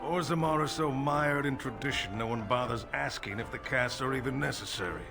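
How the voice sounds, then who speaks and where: A man's voice: mid-range voice, brisk, vocal fry, music in the background, lightly acted. A man playing a wry, self-deprecating dwarf nobleman in a video game, with a casual, sardonic delivery. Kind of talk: video game character acting.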